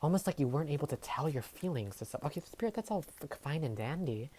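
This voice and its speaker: Woman, Tagalog first language, very low pitch, meandering tarot-reading delivery with lots of fillers. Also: lively intonation, quick pace, warm tone, husky. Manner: meandering, hesitant, full of fillers and trailing phrases. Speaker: tarot reader. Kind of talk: casual tarot reading monologue. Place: home filming setup. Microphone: overhead camera setup.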